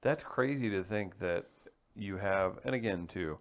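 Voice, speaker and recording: A man's voice: deep voice, measured pace. A man hosting a casual interview podcast, talking loosely with lots of fillers. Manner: loose and chatty, full of "like" and fillers. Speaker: podcast host.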